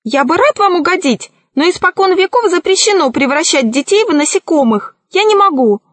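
Cowardly, touchy storybook sorcerer, performed by a woman: trembling, plaintive, pitched very high. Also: animated, a little fast, no echo. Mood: pleading. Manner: plaintive, pleading, pompous. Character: whiny, cowardly, easily offended male sorcerer. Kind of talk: children's storybook reading.

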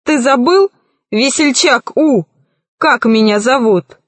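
A woman's voice: high-pitched, slow pace, no echo. A woman voicing a bossy, scornful male pirate rat villain in a children's storybook. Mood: irritated. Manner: commanding, scornful.

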